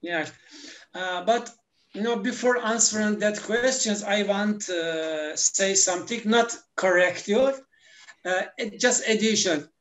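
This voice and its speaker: A man's voice: high-pitched, a little slow, fairly bright tone, echoey room. A man, talking as a guest in a casual interview, hesitant with many fillers. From Turkey. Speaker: guest, language teacher.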